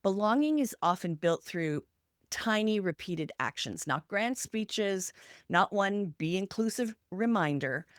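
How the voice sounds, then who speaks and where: A woman's voice: medium pitch, expressive, a little slow, no echo, clean studio sound. A woman co-hosting a podcast, talking in a structured, instructional way and stressing points through short, parallel lists. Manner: emphatic, listing examples in short parallel phrases. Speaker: podcast co-host.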